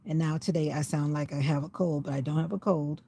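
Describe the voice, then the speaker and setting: An older woman with a slightly raspy voice rambles casually on a livestream, sitting in her parked car while it rains. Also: low-pitched, fairly even intonation, a little fast, warm tone. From USA. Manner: rambling, chatty, talking to herself. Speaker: vlogger. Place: inside a parked car in the rain.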